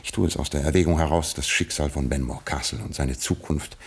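A British lord of faded fortune in a radio play. His voice is extremely deep, with a creaky, rough grain. He speaks formally, a little hesitantly, with dry aristocratic understatement. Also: a little fast, full character voice. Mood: stately, composed. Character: impoverished, absent-minded British lord, resigned and aristocratic. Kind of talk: German radio play acting.

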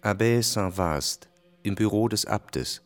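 A man's voice: fairly low pitch, lively intonation, slow, soft background music. A man giving scripted narration as the narrator of a historical radio drama.

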